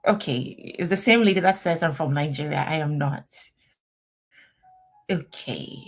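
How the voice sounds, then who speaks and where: A middle-aged woman attorney, answering viewers' questions on a live stream in a chatty, animated way. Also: sits low, normal speed. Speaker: immigration attorney.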